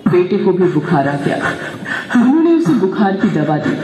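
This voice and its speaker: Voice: mid-range voice, sing-song, moderate pace, warm tone, recorded from a distance, slight background noise. A narrator telling a dramatic scripted puppet show story, heard with long hall reverb.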